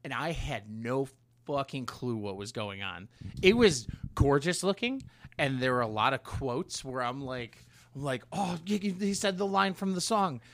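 A man co-hosting a podcast in casual chat, talking in an informal, halting way with loose, slangy phrasing. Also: mid-range voice, expressive, a bit bright. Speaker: podcast co-host.

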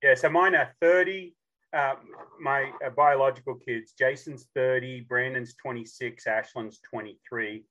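Man, fifties, Australian accent, podcast guest, casual storytelling, jokey, animated retelling, middling pitch. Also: unhurried, muffled recording. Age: 50s.

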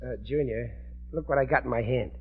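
A man's voice: medium pitch, fairly quick, natural voice. An actor playing an aggrieved, blustering middle-aged working-class husband and father in a 1940s radio sitcom, with plaintive, ungrammatical everyman speech. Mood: sly, grumpy. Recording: dull vintage radio broadcast recording.

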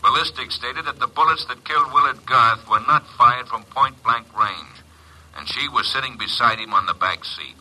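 An actor playing a blunt, forceful police inspector with a husky voice in an old radio drama. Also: male voice, medium pitch, moderate pace, rough recording. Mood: blunt, businesslike. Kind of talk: 1950s radio drama acting.

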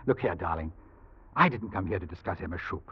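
An actor playing a deceitful, desperate suitor in a halting, melodramatic 1940s radio style. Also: male voice, sits low, animated, husky, heavily acted. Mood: pleading, nervous. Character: deceitful, desperate foreign suitor turned killer, smooth-talking man. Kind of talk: 1940s radio drama acting. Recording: dull vintage radio recording.

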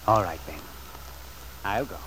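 An actor playing an earnest, reproachful middle-aged uncle in an old-time radio soap, speaking in a grave, moralizing, deliberate way. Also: male voice, lowish voice, sharp tone. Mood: resigned. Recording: noisy vintage radio drama recording.

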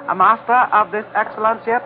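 An actor playing a flattering Chinese police officer in a 1940s radio drama, speaking ceremonious, broken English with a Chinese accent. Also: male voice, sits high, brisk, natural voice. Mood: smooth flattery. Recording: noisy, narrow-band old radio recording.

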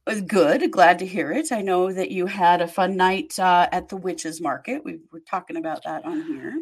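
A woman's voice: fairly low pitch, normal speed, breathy edge. A woman hosting a podcast in casual chat, talking easily and laughing often. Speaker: podcast host.

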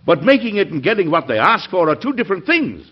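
Earnest, animated American president, old radio. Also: male voice, high voice, quick pace, husky, heavily acted. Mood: hopeful, resolute. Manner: earnest, emphatic oratory. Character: weary, idealistic, stubborn American president. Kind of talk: classic American radio drama acting. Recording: dull, band-limited old radio recording.

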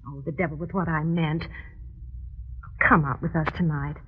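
An actress playing a bubbly, pushy but well-meaning neighbor, chirpy and coaxing in old-time radio drama style. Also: female voice, low voice, steady tone, moderate pace, husky. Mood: earnest, urging. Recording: tinny, band-limited old radio recording.

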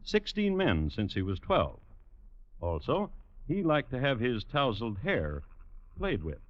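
An American actor playing the wry storyteller of a western radio drama, dry and deliberate with pauses. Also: male voice, mid-range voice, wildly swooping pitch, no echo. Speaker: narrator. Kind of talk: 1950s radio scripted narration. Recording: noisy, muffled old radio recording.

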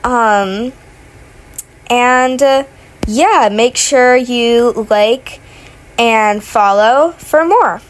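A woman's voice: fairly high pitch, drawn-out words, long pauses. Sick young woman rambling over gameplay. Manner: rambling, many fillers and restarts. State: sick, voice sounding off. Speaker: gaming vlogger. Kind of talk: casual gameplay commentary. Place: at home in bed.

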